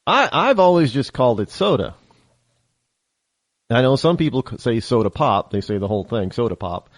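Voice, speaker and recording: A man's voice: mid-range voice, very animated, moderate pace, no room sound, studio quality. A man with a slight central upstate New York accent, hosting a call-in radio talk show in a casual, rambling way with many restarts.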